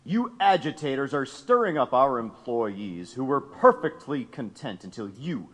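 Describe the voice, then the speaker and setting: A man's voice: medium pitch, very animated, unhurried. An actor playing a smug, imperious wealthy businessman in an audio drama, with a pompous, sardonic delivery. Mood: stern, impatient. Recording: long echoing reverb.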